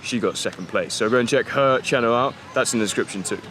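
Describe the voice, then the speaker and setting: A man's voice: mid-range voice, quick pace, fairly bright tone. A man vlogging casually to camera, enthusiastic and slangy with lots of fillers. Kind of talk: casual vlog talk to camera. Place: outdoors in a city park.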